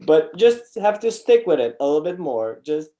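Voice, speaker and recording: A man's voice: a little high, big pitch swings, normal speed, warm tone. A man from Romania with a Romanian accent, answering casually as an interview guest and repeating phrases emphatically. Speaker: interview guest, online seller.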